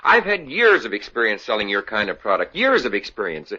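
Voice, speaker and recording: A man's voice: on the low side, wildly swooping pitch, a little fast, sharp tone, breathy edge, heavily acted. An actor playing an eager, bumbling young salesman in a 1950s radio comedy. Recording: dull lo-fi old radio broadcast.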